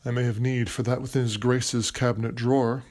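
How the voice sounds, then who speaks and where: A man's voice: mid-range voice, little pitch movement, brisk, darkish tone, lightly acted. A man playing a resigned, despairing writer in his twenties, solemn and poetic in a formal audio drama. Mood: resigned, bleak. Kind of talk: stage-play audio drama acting.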